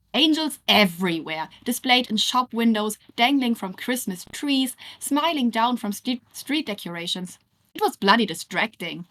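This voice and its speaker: A woman reading fan fiction aloud as an expressive scripted narration. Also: medium pitch.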